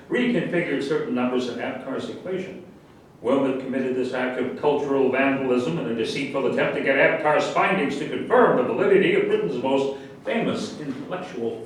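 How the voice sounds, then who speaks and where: A man in a staged reading playing a pompous, indignant general who lectures emphatically. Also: natural voice. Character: pompous, indignant male general lecturing pseudo-scientific nonsense. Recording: distant echoey room with faint rumble.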